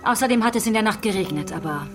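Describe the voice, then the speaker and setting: A woman's voice: mid-range voice, lively intonation, fast talker, over music, close to an everyday voice. An actress playing a relentless female police detective in a radio play, probing and taunting as she interrogates a suspect. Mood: coolly accusing.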